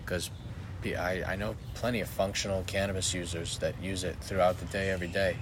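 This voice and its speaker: Low male co-host, loose and profane, noisy recording. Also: level tone, fairly quick. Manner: rambling, profane, restarts often. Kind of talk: casual chat. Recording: background noise.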